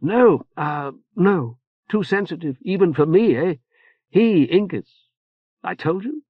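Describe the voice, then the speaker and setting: A man's voice: high-pitched, animated, no room sound, voice pushed up, heavily acted. Man doing a fretful stammering old churchman. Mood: anxious, fumbling. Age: older. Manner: halting, full of "uh" and "eh?" tags. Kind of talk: audiobook character voice. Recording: dull, muffled audio.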